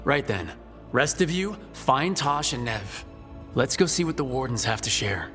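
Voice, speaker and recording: An actor playing a decisive, gruffly caring leader of adventurers in a fantasy video game. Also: male voice, mid-range voice, sing-song, unhurried, fairly bright tone, faint music behind. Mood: brisk, wry. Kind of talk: dramatic fantasy game dialogue.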